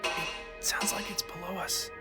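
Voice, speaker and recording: A man's voice: measured pace, lightly acted. A man playing a weary, resigned young man in an audio drama, casual and dismissive. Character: sleepy, resigned young man, Aaron, downplaying strange noises. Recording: music under the voice.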